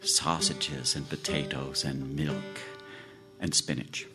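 A man telling a fairy tale theatrically, with dramatic, deliberate phrasing and pauses. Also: rumbling low, little pitch movement, music in the background. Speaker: storyteller.

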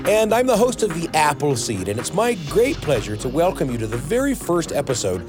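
A man's voice: a little high, wildly swooping pitch, a little fast, music in the background. A man hosting a podcast, announcing from a script in a friendly style on a close studio mic. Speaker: podcast host.

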